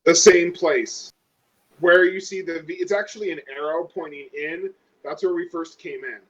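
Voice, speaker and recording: A man's voice: on the high side, steady tone, moderate pace. A man casually explaining things as a player in a tabletop game, heard through a dull, lo-fi connection.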